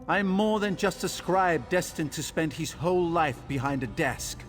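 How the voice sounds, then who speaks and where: An actor voicing an earnest, inexperienced young male scribe in a video game, anxious and halting as he reassures himself. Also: on the high side, lively intonation, natural voice. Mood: earnest, determined. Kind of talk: video game character acting. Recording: reverberant game hall with music.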